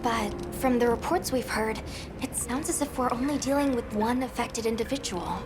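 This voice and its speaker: A woman's voice: a little high, normal speed, background noise, close to an everyday voice. A woman playing an attentive, analytical young colleague of hunters in a video game, thoughtful and matter-of-fact. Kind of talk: video game cutscene acting. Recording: music clearly behind the voice.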